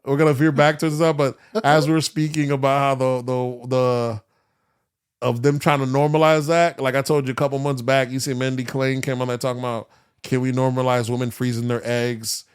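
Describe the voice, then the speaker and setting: A man's voice: mid-range voice, little pitch movement, a little fast, clean tone. A man co-hosting a casual podcast, giving his opinions in a slangy, profane, rambling way. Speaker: podcast co-host.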